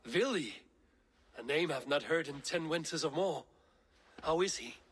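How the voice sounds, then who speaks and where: A man voicing a proud, gruff Viking warrior in a video game, speaking in measured, plain-spoken phrases. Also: on the high side, lively intonation, fairly bright tone, full character voice. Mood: fond surprise. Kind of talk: video game dramatic acting.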